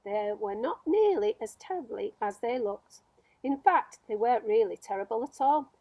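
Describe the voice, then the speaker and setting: A woman reading a children's story aloud as a storyteller, with expressive narration. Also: fairly high pitch, moderate pace, no echo, poor audio.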